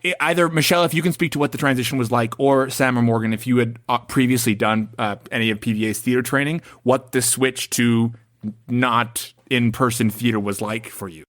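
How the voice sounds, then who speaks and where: A young adult man co-hosting a casual interview podcast, joking and filling pauses with "uh". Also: medium pitch, steady tone. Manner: joking, with many "uh" fillers. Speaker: podcast co-host.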